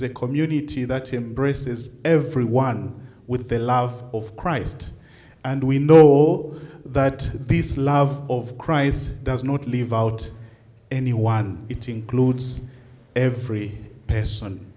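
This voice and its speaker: A middle-aged man from Zambia, a bishop, giving a measured conference talk from a lecture hall podium, pausing often with uh and um. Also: mid-range voice, dark tone. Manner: measured, with frequent uh and um pauses. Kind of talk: slow lecture with slides. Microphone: podium microphone.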